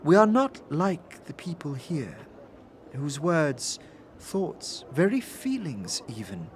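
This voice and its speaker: A woman's voice: deep voice, big pitch swings, slow pace, a little hiss or hum, exaggerated voice. An actress playing a reserved otherworldly woman who speaks in a stilted, careful, formal way in an audio drama. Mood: grave, careful. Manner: stilted, careful, formal, repeats own name. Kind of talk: scripted audio drama acting.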